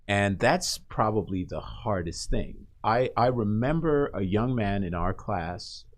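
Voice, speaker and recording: A man's voice: fairly low pitch, expressive, a little slow. A man from Costa Rica hosting a conversational radio interview, reflective and encouraging. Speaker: radio host.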